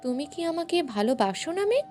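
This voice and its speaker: A woman's voice: high voice, big pitch swings, moderate pace, darkish tone, faint music behind, voice pushed up. A woman voicing a proud, wounded businessman suitor in a story, with a pleading manner. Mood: hurt, pleading.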